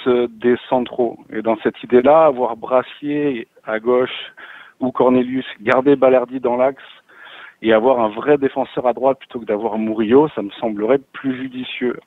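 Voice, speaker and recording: A man's voice: mid-range voice, steady tone, dry recording. A man calling in to give his opinion, speaking hesitantly and restarting his sentences. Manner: hesitant, halting. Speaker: caller. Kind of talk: phone-in opinion. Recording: tinny dry phone line.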